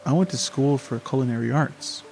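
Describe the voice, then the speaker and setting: A man playing a gentle, reassuring young taxi driver in an amateur radio drama, speaking haltingly. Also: medium pitch, vocal fry, lightly acted. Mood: easygoing. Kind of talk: amateur radio theatre acting. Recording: faint music behind the voice.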